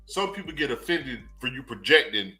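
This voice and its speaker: A man co-hosting a podcast in casual chat, talking in an animated, emphatic, joking way. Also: medium pitch, normal speed, faint music behind. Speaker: podcast co-host.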